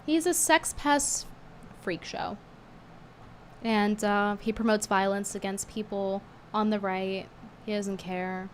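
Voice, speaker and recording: A woman's voice: mid-range voice, takes it slowly, slight background noise. A woman streamer chatting bluntly with viewers, her voice strained from a cold and hard to get out. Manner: blunt, scornful and chatty, reading tips aloud. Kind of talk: casual livestream chat.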